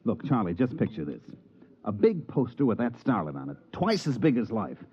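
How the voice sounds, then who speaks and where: An actor playing a fast-talking male swindler and promoter in a 1950s radio drama, who also narrates the story with slick salesman patter. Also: medium pitch, big pitch swings, gravelly. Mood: brash. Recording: dull vintage radio recording.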